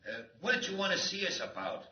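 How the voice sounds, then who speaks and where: A man's voice: high-pitched, sing-song, quick pace. An actor playing a dim, anxious gangster henchman in a 1940s radio serial, talking in slangy, deferential phrases. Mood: nervous. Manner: slangy, uneducated, nervous. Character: dim, anxious male henchman, deferential tough-guy crook. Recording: noisy muffled old radio, echoey, distant.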